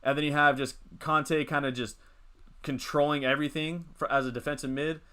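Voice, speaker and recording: Bright-voiced young man chatting on stream. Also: fairly high pitch, level tone, a little fast, clean tone. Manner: rambling, reads chat and answers it. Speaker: livestream host. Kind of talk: casual live stream chat.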